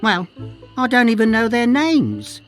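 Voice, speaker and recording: A woman's voice: a little high, wildly swooping pitch, measured pace, soft background music. An actress playing a cagey, knowing woman cartographer in a pirate video game, chatty and conspiratorial. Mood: wistful. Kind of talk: video game dialogue acting.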